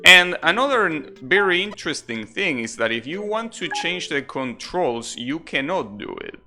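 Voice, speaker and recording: A man's voice: fairly high pitch, sing-song, moderate pace, sharp tone. A man giving casual, rambling live commentary as a game streamer, chatting and explaining as they go. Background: video game music.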